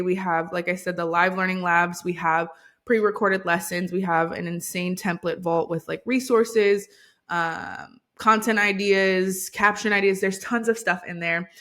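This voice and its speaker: A woman's voice: fairly low pitch, fairly even intonation, a bit bright. A woman who grew up in Hawaii, a podcast guest talking in an upbeat, casual way about her coaching work. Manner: upbeat, fast-talking with fillers. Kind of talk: casual interview chat.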